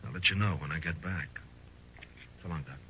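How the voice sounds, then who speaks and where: An actor playing a stern, laconic frontier marshal in a 1950s radio western, terse and understated. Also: male voice, deep voice, normal speed, breathy edge. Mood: businesslike. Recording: muffled old 1950s radio recording.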